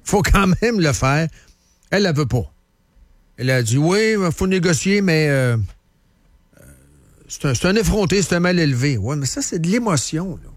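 A man's voice: fairly high pitch, animated, moderate pace, long pauses, no room sound. A man with a Quebec French accent hosting a casual, opinionated current affairs talk show in colloquial speech sprinkled with English, repeating phrases for emphasis. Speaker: radio host.

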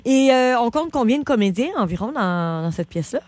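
A woman's voice: a little high, animated, fairly quick, a little mellow, dry recording. A woman radio host, friendly and enthusiastic, with many hesitations. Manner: friendly, filler-heavy, enthusiastic interjections. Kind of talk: radio interview.

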